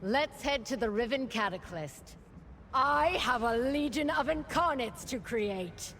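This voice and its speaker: An actress playing a confident, scheming cult villain in a fantasy game, unruffled and grandiose. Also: female voice, on the high side, expressive, unhurried, a bit bright, slight background noise. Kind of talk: fantasy game character acting.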